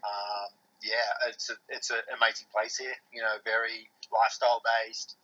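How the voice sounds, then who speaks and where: Bright-voiced Australian man, rambling. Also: fairly low pitch, flat delivery, moderate pace, lo-fi sound. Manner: rambling, many fillers and restarts. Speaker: podcast guest. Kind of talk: casual chat.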